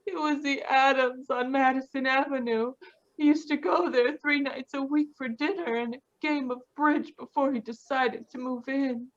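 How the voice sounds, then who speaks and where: A woman's voice: sits high, steady tone, normal speed, lo-fi sound, lightly acted. A woman playing a distraught, polite young lady of the house in an old-time radio melodrama. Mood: subdued. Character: distraught, polite grown daughter of murdered man. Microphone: video call.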